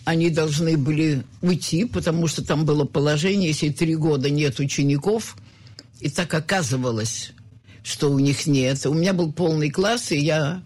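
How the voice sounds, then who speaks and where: An older woman from the Soviet Union, a concert pianist giving an interview, talking in a rambling, halting way with many fillers. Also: low voice, darkish tone. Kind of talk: reminiscing interview talk.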